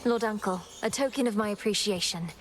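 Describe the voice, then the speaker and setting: An actress voicing an earnest, polite young goddess heroine who thanks her uncle warmly, in game dialogue. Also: mid-range voice, brisk, in a large hall, natural voice. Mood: grateful. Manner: short battle calls, breathy whispers. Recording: game audio over music.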